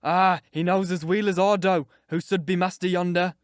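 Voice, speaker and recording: A man voicing a spiteful, mocking old male servant, drawling slowly. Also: sits high, flat delivery, no echo, studio quality, close to an everyday voice. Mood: gloating. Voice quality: croaking, crackly laugh. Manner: slow, gloating drawl.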